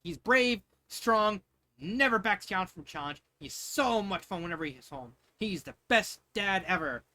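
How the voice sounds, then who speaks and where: A man's voice: high-pitched, animated, unhurried, pushed and bright, exaggerated voice. A man voicing an earnest young princess who adores her father, in a fan fiction storybook reading. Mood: proud, eager.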